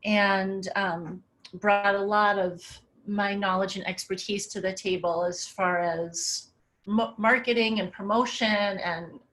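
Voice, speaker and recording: Casting note: middle-aged woman presenting over slides, relaxed and conversational, mid-range pitch. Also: takes it slowly, low-quality recording. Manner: casual, conversational, many "um" fillers. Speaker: webinar presenter.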